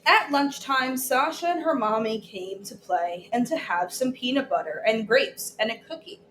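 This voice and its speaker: A woman teacher reading a children's story aloud, deliberately, pausing to put questions to her listeners. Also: fairly high pitch, animated, fairly bright tone. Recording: echoey room with background noise.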